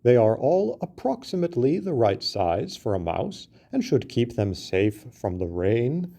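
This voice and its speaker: Patient, well-meaning elephant, read by a man, slow delivery with a lively pitch. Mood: earnest.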